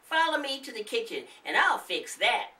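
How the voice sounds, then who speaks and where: A woman's voice: a little high, very animated, normal speed, bright, forceful tone, pitched above the performer's own voice. A woman voicing an old wife in a children's story, scolding and motherly by turns, recorded roomily at a distance. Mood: kindly welcoming. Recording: roomy, distant microphone.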